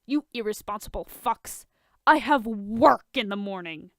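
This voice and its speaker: A woman's voice: medium pitch, animated, measured pace, a bit bright, no room sound. A woman voicing a grumpy, guarded male billionaire in a story reading, curt and sardonic. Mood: indignant. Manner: curt, scolding, dry.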